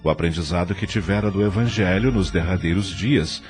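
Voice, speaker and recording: Male narrator, Brazilian accent, deep voice, animated intonation, solemn scripted storytelling, light music bed. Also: fairly quick. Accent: Brazilian Portuguese. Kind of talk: scripted audio drama narration.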